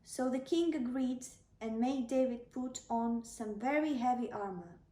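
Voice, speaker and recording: Woman narrator for children's bedtime tales: fairly high, unhurried, soft-toned, recorded in a roomy space. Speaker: storyteller. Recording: echoey room, slightly distant microphone.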